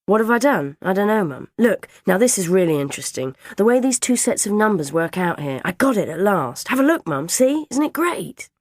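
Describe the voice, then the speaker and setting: A woman voicing a clever, excitable nine-year-old boy, eager and oblivious, in a storybook. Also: medium pitch, brisk, few pauses, no echo, studio quality, pitched above the performer's own voice. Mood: excited, absorbed.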